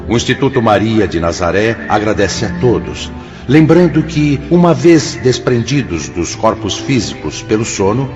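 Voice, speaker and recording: An actor playing a benevolent announcer of a spirit institute, giving formal, solemn instructions in a radio drama. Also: male voice, medium pitch, muffled recording.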